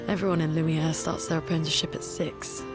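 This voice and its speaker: A woman's voice: sits low, flat delivery, fast, lightly acted. An actress playing a composed, dry-witted young woman scholar in a video game cutscene, matter-of-fact and wry. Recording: studio voice under clear background music.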